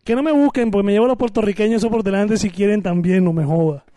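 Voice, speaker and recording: A man's voice: sits high, quick pace. A man co-hosting a radio show, brash and fired up, trading joking threats while talking away from the mic. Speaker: radio co-host. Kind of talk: casual joking radio banter.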